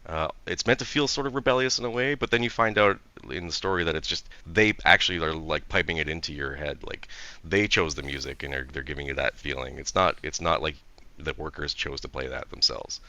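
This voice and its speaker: A man, a game director on a panel, talking in an eager, animated way and quick to agree. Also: extremely low pitch. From Canada. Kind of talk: casual panel conversation.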